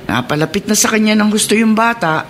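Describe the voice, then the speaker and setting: A woman playing a concerned older mother in a Filipino radio drama, probing and gently admonishing. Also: mid-range voice, a little fast, a little hiss or hum, close to an everyday voice. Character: concerned older mother of a grown married daughter.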